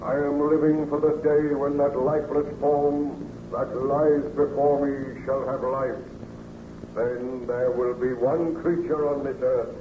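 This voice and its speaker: A man's voice: mid-range voice, phone-quality sound, lightly acted. An actor playing a brooding, menacing man-made monster in an old radio horror play, speaking in formal, ominous phrases. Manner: slow, ominous, formal archaic speech. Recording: distant, echoey, noisy, phone-thin old radio.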